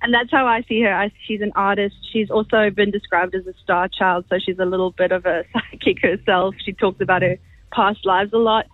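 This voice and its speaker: A woman's voice: medium pitch, steady tone, a little fast, no echo. An Australian woman calling in to a radio show over the phone, talking about personal matters. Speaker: caller. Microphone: phone call. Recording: phone-line sound over faint music.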